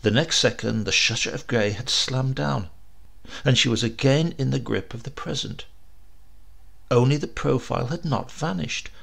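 Male storyteller, mid-pitched with a creaky, gravelly edge, for dramatic horror narration with weighted pauses. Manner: theatrical, expressive reading with deliberate drawn-out pauses. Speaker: audiobook narrator.

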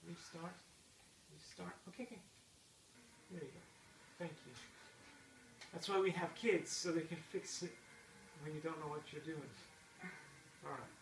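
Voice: medium pitch, fairly even intonation, a little slow, pauses often, echoey room. Middle-aged parent coaching a kid at a video game, encouraging, far from the mic, noisy room. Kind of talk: casual chat while watching gameplay. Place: indoor room at home with a TV. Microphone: distant camera mic.

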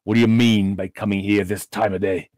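A man's voice: lowish voice, flat delivery, brisk, darkish tone, no room sound, lightly acted. A man acts out an old miser who has found joy, in a classic storybook tale. He blusters with mock sternness and exclaims with hearty generosity. The sound is close and dry. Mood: mock stern. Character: reformed old male miser, gleeful, mock-gruff, bursting with generosity.

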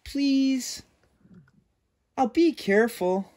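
A man's voice: very high-pitched, lively intonation, extremely slow, a little mellow, pitched above the performer's own voice, heavily acted. A man voicing a pushy, childish storybook pigeon who pleads in a whiny, wheedling way.

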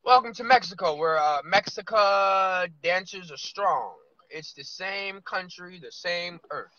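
A man in his thirties vlogging from inside a car, musing loosely with drawn-out asides. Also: sits high, bright, forceful tone. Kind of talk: casual rambling monologue. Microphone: phone camera on dashboard. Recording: lo-fi phone audio.

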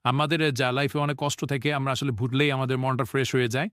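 A young man from Bangladesh, vlogging casually outdoors in a park and pausing often with fillers. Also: medium pitch, no room sound, studio quality. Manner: relaxed, hesitant with many fillers. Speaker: vlogger interviewing a friend. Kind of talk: casual outdoor vlog chat.

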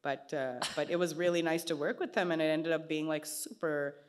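Lively woman telling stories on stage. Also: sits low, brisk, clear, resonant tone. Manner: animated storytelling, gestures a lot, acts out quoted voices. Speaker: artist guest. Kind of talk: casual onstage conversation. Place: small gallery event space, indoors. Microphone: stand mic.

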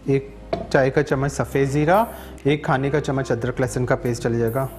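A man's voice: mid-range voice, fairly even intonation, normal speed, over music. A man in his thirties with a Sindhi accent, hosting a live TV cooking show in a studio kitchen and chatting his way through a recipe. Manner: chatty, switches between languages, checks in with "theek hai?" often. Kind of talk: live TV cooking demonstration with phone-in callers.

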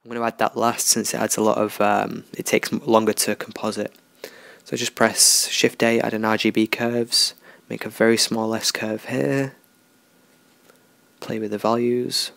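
A man talking through a software tutorial in a relaxed, unscripted way, thinking aloud as he works. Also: fairly low pitch, moderate pace, vocal fry, dry recording. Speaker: tutorial host. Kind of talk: screen-recorded software tutorial.

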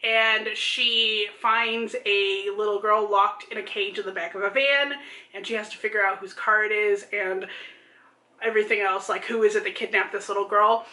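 A woman's voice: medium pitch, fairly even intonation, moderate pace, bright, forceful tone. A woman in her thirties chatting casually about books as a vlog co-host, gushing and emphatic. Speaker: book vlogger, co-host. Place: small room at home. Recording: roomy home video sound.

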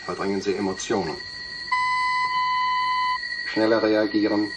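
A man's voice: lowish voice, flat delivery, unhurried, close to an everyday voice. Man playing a cold drill-master therapist over noise. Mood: stern, instructing. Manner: clipped instructions. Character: cold, commanding male psychotherapist drilling his subject. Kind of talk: German radio drama acting as training film. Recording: clear background noise.

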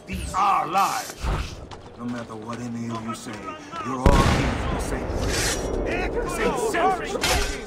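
An actor playing a sneering, contemptuous villain in a video game, theatrical and drawn-out in delivery. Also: male voice, medium pitch, huge pitch swings. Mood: contemptuous, ranting. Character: sneering, ranting villain taunting opponents in combat. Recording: distant voice under loud music.

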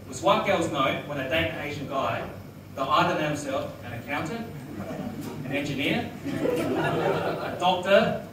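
Young male stand-up, high-ish voice, deadpan delivery, live bar recording from far back. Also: unhurried, long pauses, noisy background. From Australia. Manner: deadpan one-liners with laughing asides. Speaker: stand-up comedian. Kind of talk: stand-up comedy set. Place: small restaurant bar, live audience. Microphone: handheld mic, filmed from far back. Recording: echoey room from far off, loud crowd.

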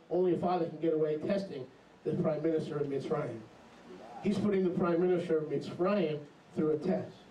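Middle-aged man, preacher, emphatic sermon, higher voice, roomy echo, heard from afar. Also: muffled recording. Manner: animated, emphatic, jumps into mocking voices. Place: small congregation hall with a lectern. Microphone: handheld mic. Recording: echoey hall, camera far off.

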